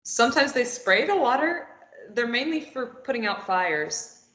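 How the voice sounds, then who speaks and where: A woman's voice: a little high, sing-song, unhurried, a bit bright. A woman reading a sardonic, probing young captive at a play table read, teasing and wry. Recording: echoey room, muffled lo-fi.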